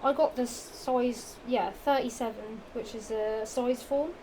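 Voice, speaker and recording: A woman's voice: on the high side, fairly even intonation, unhurried, mellow. A young woman with a Norfolk accent chatting casually about shoes in a vlog, rambling and repeating herself, her voice a little strained from the heat. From England. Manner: rambling, self-repeating, giggly, with many fillers and restarts. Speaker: vlogger. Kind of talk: casual chatty product show-and-tell. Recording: noisy home recording.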